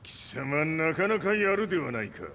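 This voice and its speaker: An imperious old martial arts patriarch in a fighting game. His gravelly voice is pitched high with force and swings widely, domineering in manner, in a long reverberant space. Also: very animated, quick pace, exaggerated voice. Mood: fierce, incredulous. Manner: forceful, domineering, archaic speech. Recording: long reverb, faint music.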